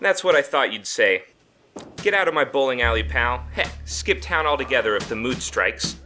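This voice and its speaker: An actor playing a cocky, chatty 1950s mobster in a noir radio drama, with a breezy, mocking, slick way of talking. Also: male voice, medium pitch, expressive, moderate pace, pushed and bright, soft background music.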